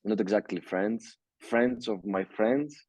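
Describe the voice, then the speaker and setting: A young man from Greece with a Greek accent, speaking English as a second language in a casual interview and talking haltingly with many fillers and restarts. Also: lowish voice, darkish tone, no echo, low-quality recording. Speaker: music producer, guest.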